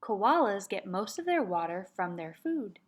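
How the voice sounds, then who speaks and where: A woman teaching kindergarten, reading a nonfiction book aloud and chanting words slowly for the children to repeat. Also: mid-range voice, big pitch swings. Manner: slow, patient, sing-song chanting of words for children to repeat. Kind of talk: read-aloud lesson for young children.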